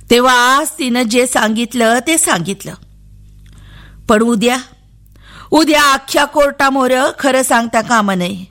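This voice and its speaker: A woman voicing an elderly rustic village man, coaxing and scheming in slow, drawn-out speech. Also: fairly high pitch, a bit bright. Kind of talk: dramatic storytelling monologue.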